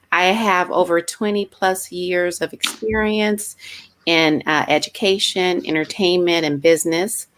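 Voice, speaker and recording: A woman's voice: lowish voice, fairly bright tone. A middle-aged woman from Inglewood, California, answering as an interview guest in a confident, polished way. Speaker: guest speaker.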